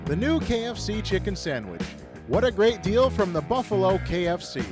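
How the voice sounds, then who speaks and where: An American man reads scripted radio commercials with music behind him. His voice is high for a man and swings animatedly up and down. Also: very animated, moderate pace. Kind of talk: scripted ad read.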